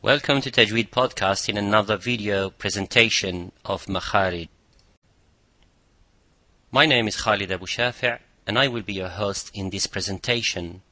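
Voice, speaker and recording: Male lesson host, slightly low and crisp-bright, deliberate instructor delivery. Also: no room sound. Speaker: podcast host and Quran recitation teacher. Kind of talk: presented pronunciation lesson.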